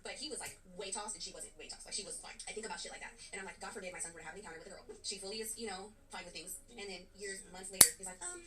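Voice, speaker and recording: A woman in a played clip retelling a friend's story in a gossipy, animated way. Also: medium pitch, rapid-fire, few pauses, distant mic, over a noisy crowd.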